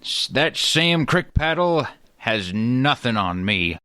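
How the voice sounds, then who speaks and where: A man acts out a pompous, fussy Old West tool collector for a comedy radio play. His mid-pitched voice swings widely as he boasts in grand, over-precise phrases. The sound is close and dry. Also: big pitch swings, takes it slowly, pushed and bright, smooth, no room sound, heavily acted. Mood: smug, self-important.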